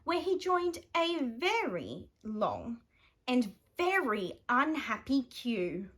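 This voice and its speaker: An Australian woman reading a children's picture book aloud, animated and dramatic with playful emphasis. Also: a little high, slow pace. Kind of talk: expressive children's bedtime story reading.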